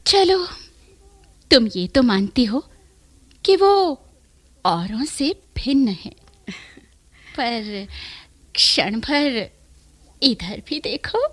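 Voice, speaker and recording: A woman's voice: high-pitched, expressive, drawn-out words, long pauses, a bit bright, full character voice. A woman playing a dreamy, wilful young courtesan in love, speaking poetic, formal lines in a radio play. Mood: self-admiring, dreamy. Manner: poetic, formal, lingering delivery. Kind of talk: theatrical Hindi radio drama acting.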